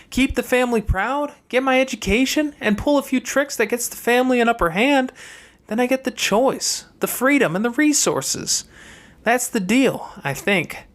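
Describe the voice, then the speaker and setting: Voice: medium pitch, pitched above the performer's own voice, natural voice. A reader voicing a calculating young girl from a wealthy family in an audiobook, airy and pointed with a haughty disdain.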